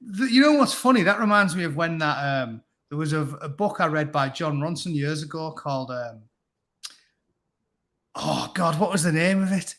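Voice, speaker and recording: A man's voice: a little high, normal speed, a bit bright. A man, a guest in a casual live chat, who talks bluntly and crudely in a ranting way.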